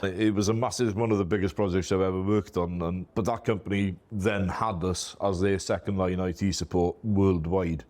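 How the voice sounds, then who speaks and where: A middle-aged Welshman with a strong Welsh accent talks in a low voice about his own business. He is chatty and self-deprecating, loosely built sentences full of "you know", Welsh being his first language. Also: steady tone, moderate pace, few pauses, darkish tone. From Wales. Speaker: MSP business owner, guest. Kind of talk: casual interview talk.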